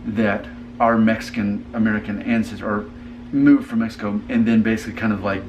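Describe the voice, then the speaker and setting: A man's voice: lowish voice, noisy background. A man hosting a casual family conversation, earnest and thinking aloud with frequent restarts. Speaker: host.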